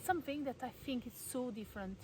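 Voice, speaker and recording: A young woman from Portugal with a Portuguese accent, talking casually to camera in her garden, with many fillers and soft laughs. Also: on the high side, fast talker, a little hiss or hum, poor audio. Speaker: vlogger. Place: garden at home in the countryside, outdoors.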